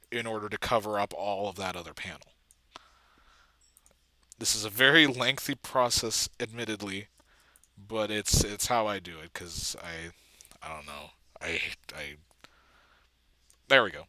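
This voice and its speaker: A man giving a casual walkthrough as an amateur video editor, thinking aloud haltingly and trailing off. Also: fairly low pitch, pushed and bright, no room sound. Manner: halting, trailing off mid-thought.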